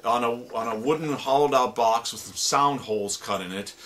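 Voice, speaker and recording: A man who produces radio drama, demonstrating sound effect gadgets in a relaxed, explanatory way with plenty of fillers. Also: mid-range voice, moderate pace, a little hiss or hum.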